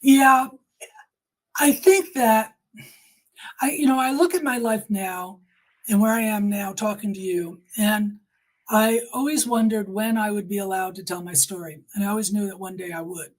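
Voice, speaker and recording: Older female interview guest, mid-pitched and husky, emphatic, telling her own story. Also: normal speed, rough recording. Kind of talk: personal testimony in conversation.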